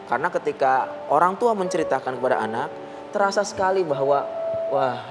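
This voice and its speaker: Measured man explaining as an expert. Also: fairly high pitch, animated, loud music behind the voice. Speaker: university psychology guest expert. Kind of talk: explaining on a talk show.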